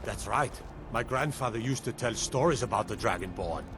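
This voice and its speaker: An actor voicing an awed guard who speaks of old legends in a fantasy video game. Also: male voice, on the low side, animated, moderate pace, background noise. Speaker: game character. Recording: game audio with music behind.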